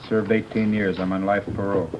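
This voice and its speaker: An actor playing a quiet paroled ex-convict giving terse, subdued answers in a 1950s radio drama. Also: male voice, fairly low pitch, flat delivery, fairly quick, lightly acted. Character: quiet middle-aged male paroled ex-convict. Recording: dull old radio broadcast with clear hiss.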